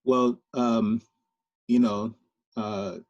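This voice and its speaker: A man from Liberia speaking on a panel discussion, thoughtful and halting, with many fillers and restarts. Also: medium pitch, flat delivery, a little mellow, dry recording, muffled recording. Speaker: filmmaker panelist.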